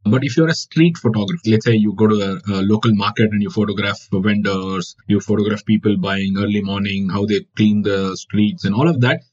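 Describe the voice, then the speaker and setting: Indian man, native Kannada speaker, lowish husky voice, thoughtful explainer, muffled lo-fi interview audio. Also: darkish tone. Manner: reflective, explanatory, many fillers. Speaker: wildlife photographer guest. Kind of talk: interview answers. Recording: dull lo-fi audio.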